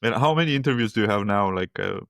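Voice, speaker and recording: A man's voice: on the low side, lively intonation, fast talker, vocal fry, fairly bright tone, dry recording, studio quality. A man hosting a podcast interview, talking loosely and conversationally with many fillers. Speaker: podcast host. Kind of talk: casual interview chat.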